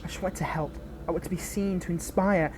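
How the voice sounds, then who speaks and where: A woman's voice: lowish voice, steady tone, fairly quick, darkish tone, slight background noise, natural voice, low-quality recording. A woman playing a betrayed former hero turned villain in an audio roleplay, speaking earnestly and intimately, with idealism and bitterness. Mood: wistful, idealistic. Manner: earnest, impassioned, intimate address to a partner. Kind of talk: dramatic audio roleplay monologue.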